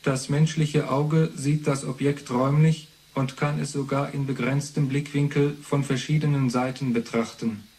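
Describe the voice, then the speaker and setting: An actor playing an impersonal information system, reciting entries in a formal, matter-of-fact way for a radio play. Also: male voice, mid-range voice, level tone, normal speed, few pauses, a little mellow, a little hiss or hum, close to an everyday voice. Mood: neutral, factual.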